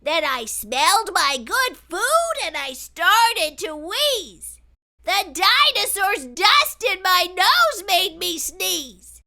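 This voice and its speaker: A woman voicing an excitable young boy who spins a tall tale in dramatic, sing-song rhyme for a storybook read-aloud. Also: extremely high pitch, slow pace, gravelly, pitched above the performer's own voice, full character voice. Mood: excited, insistent.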